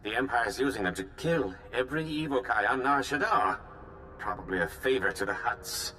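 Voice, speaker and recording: A man's voice: mid-range voice, lively intonation, normal speed, sharp tone, big-room sound, a little hiss or hum. An actor playing an earnest, weary foreman who leads a resistance in a video game, in a businesslike, urgent manner. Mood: focused. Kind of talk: scripted video game cutscene acting.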